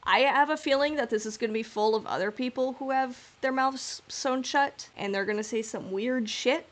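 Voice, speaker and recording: A woman's voice: high-pitched, moderate pace. A woman hosting a podcast, chatting casually and informally as she speculates in a fan discussion. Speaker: podcast host.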